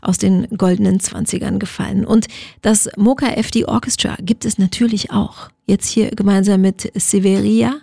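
A woman's voice: medium pitch, fairly even intonation, moderate pace, gravelly, dark tone, husky, dry recording. A woman radio host presenting in a chatty, informal way and speaking straight to her listeners.

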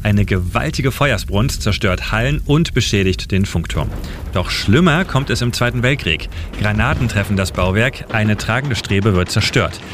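A man narrating a scripted radio history feature in a steady, measured way. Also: lowish voice. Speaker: radio editor and city guide.

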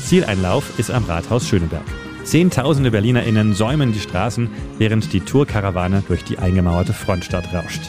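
A male radio narrator with a fairly low voice, delivering a scripted historical feature. Music plays clearly behind him as he tells the story. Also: expressive, moderate pace. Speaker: radio editor and city guide narrating a feature. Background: music bed under the voice.

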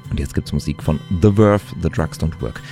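A man's voice: extremely low pitch, moderate pace, warm tone, faint music behind. A man hosting a radio show, chatting casually as he announces local events and the next song. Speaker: radio host. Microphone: studio microphone.

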